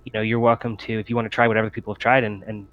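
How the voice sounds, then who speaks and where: A low-pitched male survivor as acted out by a game master, weary and evasive. He talks in halting, hesitant phrases, like an uneasy scavenger in a tabletop roleplaying story. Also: monotone, lo-fi sound, lightly acted.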